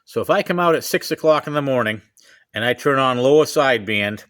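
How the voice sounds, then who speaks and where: Bright-voiced man, casual and rambling. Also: medium pitch, animated, fairly quick. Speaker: CB radio hobbyist host. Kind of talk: casual chat and gear demonstration.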